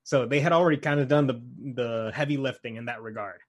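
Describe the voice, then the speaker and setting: Blunt man chatting casually, medium pitch. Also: fast talker. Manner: blunt, profane. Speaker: podcast co-host. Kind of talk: casual chat about film and game adaptations.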